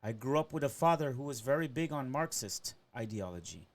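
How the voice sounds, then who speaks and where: Man, forties, from trinidad and venezuela, rambling monologue, tags lines with "right?", middle-pitched voice. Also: expressive, a little fast. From Trinidad and Tobago and Venezuela. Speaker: podcaster. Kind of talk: personal monologue.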